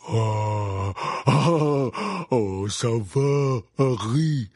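A man voicing a giant, friendly gamekeeper who speaks slowly and heartily. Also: medium pitch, darkish tone, no echo, clean studio sound. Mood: hearty. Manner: slow, hearty.

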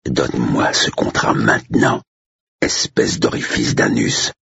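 A stern, threatening man, acted by a male narrator in an audiobook. The voice is extremely low and full of gravel, with a slow, deliberate growl and cold determination. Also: lively intonation, fairly bright tone, no room sound, lo-fi sound, full character voice. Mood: menacing anger. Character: stern, menacing Englishman, slow-spoken and coldly determined.

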